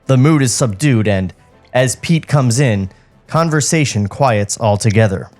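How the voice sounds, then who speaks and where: A man's voice: mid-range voice, expressive, unhurried, a little mellow. A man reading screenplay stage directions as a podcast host, with music behind him. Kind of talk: screenplay narration with joking asides.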